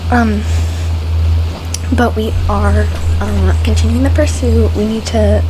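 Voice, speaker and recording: Mid-pitched woman doing casual gameplay commentary. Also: steady tone, slow, noisy background. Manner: hesitant, thinking aloud. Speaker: gaming video creator. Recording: game music behind voice.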